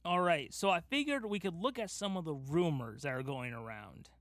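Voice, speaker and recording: Emphatic, higher-pitched man podcasting. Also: expressive, normal speed, fairly bright tone, no room sound. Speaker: podcast host. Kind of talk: casual fan discussion.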